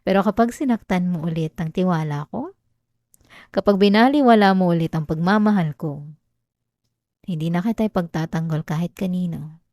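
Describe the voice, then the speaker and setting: A woman's voice: fairly low pitch, moderate pace, long pauses, a little mellow, no room sound, clean studio sound, lightly acted. A woman with a Filipino accent playing an obsessive, possessive girlfriend in an intimate ASMR roleplay, coaxing and clingy with veiled menace. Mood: calm, threatening. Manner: clingy, coaxing, breaking into giggles, veiled threats. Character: possessive obsessive girlfriend, sweetly menacing, unhinged yandere lover. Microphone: close dry microphone.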